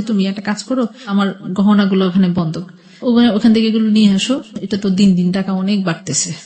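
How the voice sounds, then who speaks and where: Bangladeshi lady on a radio interview telling her life story, normal pitch, chatty, repeats herself a bit. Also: warm tone.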